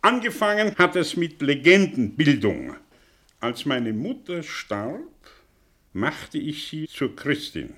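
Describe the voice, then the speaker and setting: A man's voice: a little high, very animated, slow, a bit bright, heavily acted. An actor playing a vain, bitter, brilliant middle-aged man in a radio drama, speaking in a theatrical, declamatory way with broken, emphatic phrases. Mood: confessional, intense. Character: vain, bitter, brilliant man disowning his own origins. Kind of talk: mid-century German radio drama monologue.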